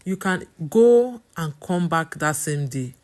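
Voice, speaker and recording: A woman's voice: fairly low pitch, lively intonation, slow pace, a little mellow. A woman voicing a deceitful young man in a story, outwardly friendly and glib, with a Nigerian accent.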